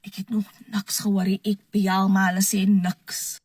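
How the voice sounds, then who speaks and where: A woman's voice: medium pitch, level tone, normal speed, a little mellow, slightly rough, no room sound. A woman teacher telling her story in a distressed, pleading, emotional way, from a social media clip. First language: Afrikaans. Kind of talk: personal social media testimony.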